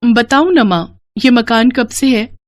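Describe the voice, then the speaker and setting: A woman voicing a bright, dutiful young village daughter in an audio story, eager and sweet. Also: a little high, expressive, slow pace, pitched above the performer's own voice. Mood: curious.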